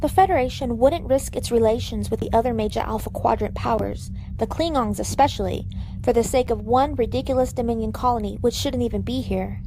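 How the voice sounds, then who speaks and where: An actress playing a skeptical starship doctor, formal and dryly argumentative, in a sci-fi audio drama. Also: female voice, on the high side, fairly quick, noisy background, lightly acted. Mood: disapproving. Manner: crisp, formal, dry and argumentative.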